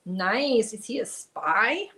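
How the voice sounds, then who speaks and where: A woman's voice: on the high side, big pitch swings, takes it slowly, pushed and bright. A woman, hosting a casual crochet livestream, chatting and reading viewer comments aloud as she works. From Germany. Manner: chatty, reads viewer comments aloud, mutters while counting stitches.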